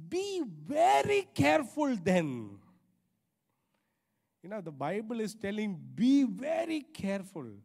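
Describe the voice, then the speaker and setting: A man's voice: very high-pitched, gravelly. A middle-aged man with an Indian accent preaching a sermon emphatically, repeating phrases for stress. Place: large church auditorium stage. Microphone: handheld microphone. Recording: reverberant hall sound.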